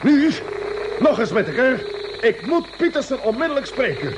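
An actor playing an urgent, authoritative space fleet captain who gives clipped orders in vintage radio drama style. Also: male voice, very high voice, sing-song, moderate pace. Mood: stern, demanding. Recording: noisy old radio drama recording.

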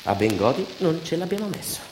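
A man's voice: on the high side, sing-song, brisk, roomy sound, pitched above the performer's own voice, poor audio. A man voicing a stubborn, boastful builder in a theatrical monologue, blustering and repeating himself. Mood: exasperated. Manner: loud, blustering, repetitive. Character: brash, yelling male builder, stubborn and boastful.

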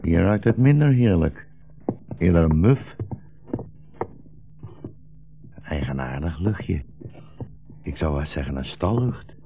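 An actor playing a weary, gentle old blind rabbi in a 1960s radio play, speaking in a solemn, wry, rhetorical way. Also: male voice, low voice, animated, measured pace, vocal fry, exaggerated voice. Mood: dryly ironic. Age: older. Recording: dull 1960s radio recording, music behind.

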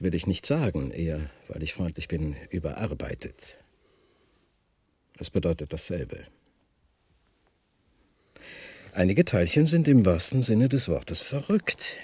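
Low, husky, halting professor. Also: male voice, a little mellow, no room sound, exaggerated voice. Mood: patronizing. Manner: halting, deliberate, stammers when agitated. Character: unsettled, condescending professor of physics, slipping into confusion. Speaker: radio play actor. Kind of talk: German science-fiction radio drama acting.

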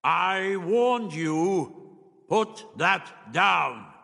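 A man voicing a stern, commanding invisible alien with a booming, very slow, stretched-out delivery in a storybook reading. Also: very animated, a bit bright, big-room sound, heavily acted. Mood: stern warning.

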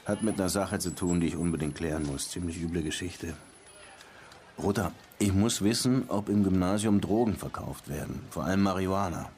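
A streetwise police detective acted by a man speaks in a fairly low voice. He is persistent and wry, asking casual, probing questions in a naturalistic radio crime play. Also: brisk, a little mellow, slight background noise, close to an everyday voice. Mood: insistent. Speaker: actor in a radio crime drama.

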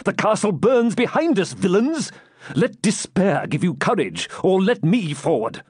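Guy doing a stern proud norman knight from an old adventure novel, very animated, dry sound. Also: sits high, a little slow, slightly rough, no room sound, pitched above the performer's own voice, heavily acted. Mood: furious rallying. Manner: stern, theatrical, archaic speech. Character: defiant proud Norman knight commander, beaten into sullen submission.

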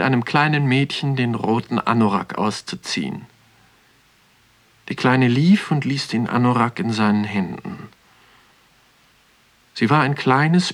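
Brief: male actor for a brooding, observant man narrating a literary radio play, mid-pitched, measured and poetic. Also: natural voice. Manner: contemplative, poetic, measured delivery. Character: pensive male first-person narrator strolling through a natural history museum.

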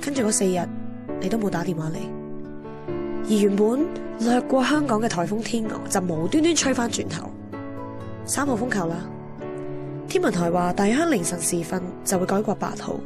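A woman's voice: mid-range voice, lively intonation, moderate pace, long pauses, natural voice. Woman acting a lovelorn young Hong Kong heroine, music behind. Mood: melancholy. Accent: Hong Kong Cantonese. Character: lovelorn young woman narrating her romance, wistful and candid. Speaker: narrator and lead character. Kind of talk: radio drama narration and dialogue.

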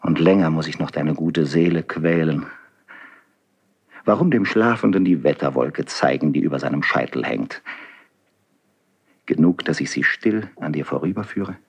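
An actor playing a grave, devoted nobleman in a 1970s German radio play, speaking solemn, lyrical verse in a husky voice. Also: a bass voice, moderate pace, long pauses, heavily acted. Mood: troubled, tender. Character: grave, devoted nobleman, brooding protectively over his friend.

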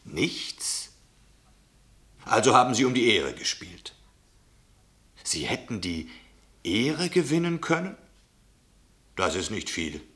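A man's voice: medium pitch, very animated, slow pace, long pauses, fairly bright tone. A man acting in a radio play as a down-to-earth sceptical outsider, questioning and incredulous. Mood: puzzled probing.

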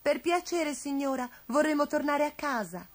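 A woman's voice: sits high, lively intonation, moderate pace, pitched above the performer's own voice. A woman voicing a polite, eager little witch's kitten in a storybook, speaking earnestly. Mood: timid, polite.

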